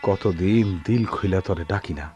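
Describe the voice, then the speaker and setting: An actor playing a bewildered, soulful village husband and father in a radio play, halting and emotional, with a rural Bangladeshi accent. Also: deep voice, darkish tone, faint music behind, close to an everyday voice. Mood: tender, sorrowful. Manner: halting, heavy with feeling. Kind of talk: Bengali radio play acting.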